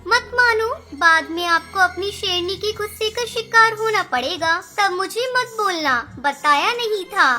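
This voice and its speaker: A woman's voice: extremely high pitch, expressive, measured pace, hardly any pauses, pushed and bright, full character voice. A woman voicing a clever, bold little mother bird in a children's cartoon story, emphatic and scolding. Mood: bold, insistent. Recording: music behind the voice.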